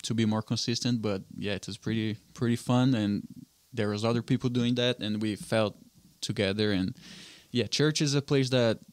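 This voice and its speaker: A man in his twenties with a Brazilian Portuguese accent, a guest on a podcast, speaking in an easygoing, soft way into a studio boom mic in a small home studio. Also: moderate pace, no room sound. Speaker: guest, soccer club founder. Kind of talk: casual conversational interview. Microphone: studio mic on boom arm, headphones.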